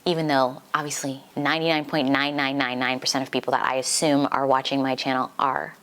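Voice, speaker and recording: Low-voiced young woman vlogger, slightly congested. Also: level tone, fairly quick, a bit bright. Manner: informal, giggly, peppered with "like". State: recovering from flu, slightly congested. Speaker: book vlogger. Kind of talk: casual chatty life-update vlog.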